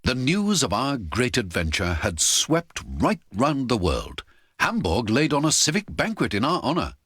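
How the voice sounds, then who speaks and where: A man narrating an adventure audiobook in the first person, theatrical and expressive. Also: lowish voice, slightly rough, no echo, clean studio sound.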